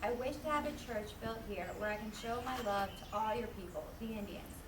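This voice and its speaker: A woman playing a sweet, gentle, regal heavenly mother in a children's puppet show, speaking with tender formality. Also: on the high side, fairly quick, lightly acted. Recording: distant mic, echoey noisy room.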